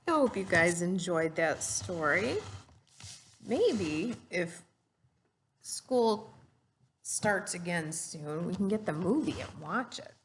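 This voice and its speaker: A woman's voice: fairly low pitch, animated, takes it slowly, a little hiss or hum. A woman reading a storybook aloud and teaching children, explaining simply and putting questions to her young listeners.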